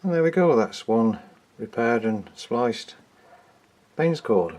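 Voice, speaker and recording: A man's voice: mid-range voice, expressive, takes it slowly, a little mellow. A man giving casual hands-on repair commentary, informally thinking aloud in a tentative way as he works. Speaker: hobbyist repairer presenting own video.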